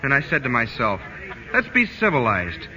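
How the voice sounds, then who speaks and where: An actor playing an earnest, self-pitying high school athlete in a 1940s radio comedy. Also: male voice, wildly swooping pitch, moderate pace, bright, forceful tone, heavily acted. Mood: nobly wounded. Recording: noisy, muffled old radio recording.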